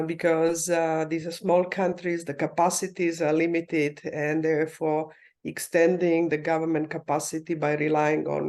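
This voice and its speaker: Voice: medium pitch, darkish tone. Remote panelist, hesitant. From Croatia. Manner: measured, with frequent hesitant fillers and restarts. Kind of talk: conference panel remarks on economic policy. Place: remote, not in the conference room. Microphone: video call.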